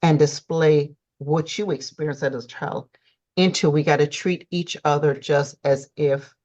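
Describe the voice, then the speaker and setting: Low woman host chatting loose, lots of you knows, a bit muffled. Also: fairly even intonation, unhurried, darkish tone. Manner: conversational, many "you know" fillers. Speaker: podcast host and coach. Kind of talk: casual talk-show chat.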